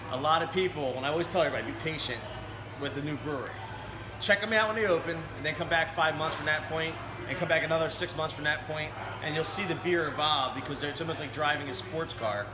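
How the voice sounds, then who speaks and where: A man with a slightly high voice tells his story in a loose, chatty way, doubling back on his words as he goes. He sounds as if he is in a brewery taproom. The room echoes, and a steady noise sits behind him. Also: fast. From Berlin. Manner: conversational storytelling with restarts. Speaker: brewery co-owner. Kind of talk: casual interview.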